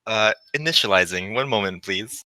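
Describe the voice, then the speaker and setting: A man's voice: lowish voice, animated, moderate pace, bright, forceful tone, no echo, low-quality recording. A man co-reading on a stream in a casual, joking read-along style. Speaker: co-reader on a stream.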